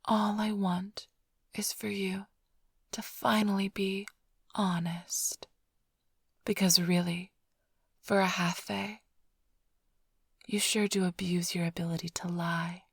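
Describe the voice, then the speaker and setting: Breathy, flirtatious vampire thief played by a woman. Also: medium pitch, little pitch movement, takes it slowly, pauses often, dry recording, clean studio sound, heavily acted. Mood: sly, teasing. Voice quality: breathy, husky, often whispered. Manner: teasing, purring, theatrically sly. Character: flirtatious female vampire thief, teasing smug rival. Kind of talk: ASMR roleplay, intimate close address to listener.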